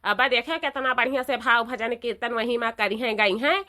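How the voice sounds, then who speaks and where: Elderly village matriarch for cartoon female performer, eastern Uttar Pradesh accent, sharp high voice, kindly but bossy. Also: fairly even intonation, normal speed. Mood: devout, pleased. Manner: questioning, repetitive, instructing. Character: bossy, kindly old village mother-in-law. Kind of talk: cartoon dialogue.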